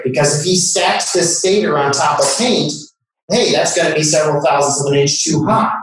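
A plain-spoken man giving informal, explanatory commentary on a technical demonstration. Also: a little high. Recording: echoey room, distant from mic.

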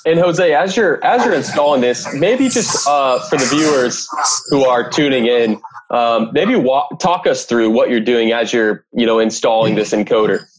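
Male podcast host, medium-pitched voice. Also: normal speed, background noise. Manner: polite, encouraging questioning. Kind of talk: podcast interview.

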